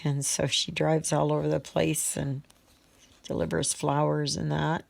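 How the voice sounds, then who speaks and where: A woman talks in a low voice at a very slow pace, with some fry in it. She chats casually while crafting, pausing and wandering from thought to thought as if thinking out loud. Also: flat delivery. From Canada. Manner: unhurried, halting, thinking aloud. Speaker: craft vlogger. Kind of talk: casual rambling chat while stitching.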